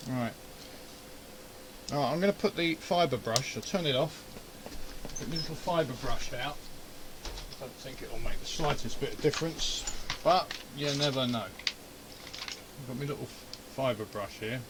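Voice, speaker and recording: A man's voice: mid-range voice, measured pace, long pauses. Grumbling hobbyist man, noisy room. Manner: grumbling, muttering to himself. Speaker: amateur radio hobbyist and video host. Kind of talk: casual running commentary while doing a repair. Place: indoor home radio shack.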